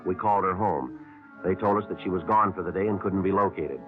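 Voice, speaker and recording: An actor playing a terse police sergeant who narrates the case in a clipped, flat, matter-of-fact way, in a 1950s radio drama. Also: male voice, sits low, a little fast, faint music behind, lightly acted. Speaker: detective narrator. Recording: tinny lo-fi 1950s radio broadcast.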